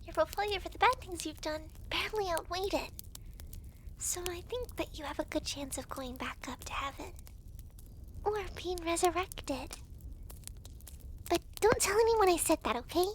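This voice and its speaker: Girl doing a super high chatty devil girl for asmr roleplay, breezy n teasing, voice bounces around. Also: expressive, slight background noise, low-quality recording. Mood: flustered, consoling. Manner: giggly, breezy, teasing, halting when flustered. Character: chatty young devil girl, hell's intake clerk. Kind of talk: ASMR roleplay, talking to the listener.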